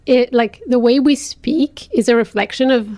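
A woman's voice: a little high, moderate pace, a little mellow, dry recording. Thoughtful woman. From France. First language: French. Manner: thoughtful, searching for words. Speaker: interviewee, teacher. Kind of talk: reflective personal interview.

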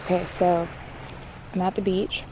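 A woman's voice: lowish voice, level tone, measured pace, a little mellow, slight background noise, poor audio. A young woman making an audio documentary, politely stopping people on an outdoor beach with short friendly questions. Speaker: interviewer, documentary maker. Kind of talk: vox pop interviewing.

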